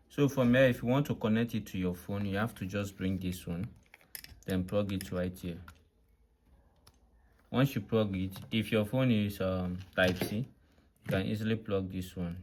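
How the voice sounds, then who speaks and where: Deep-voiced man giving a hands-on tutorial. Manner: repetitive step-by-step explaining; frequently checks understanding with short questions. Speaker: tutorial presenter. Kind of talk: hands-on product demonstration, unscripted.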